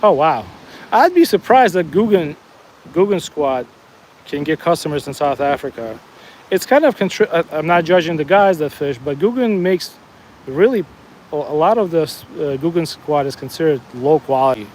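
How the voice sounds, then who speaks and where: A man's voice: a little high, expressive, moderate pace, darkish tone, a little hiss or hum, low-quality recording. A man with a New Jersey accent chatting casually with live viewers while he fishes outdoors, answering comments with frequent restarts. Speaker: fishing livestream host. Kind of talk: casual live-stream chat while fishing. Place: outdoors at a fishing spot, walking the bank.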